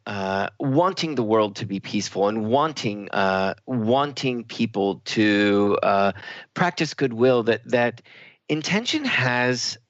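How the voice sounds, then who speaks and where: A man co-hosting a spiritual talk radio show in a reflective, halting, conversational way, with slightly dull sound. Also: fairly low pitch, dry recording. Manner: reflective, halting, searching for words.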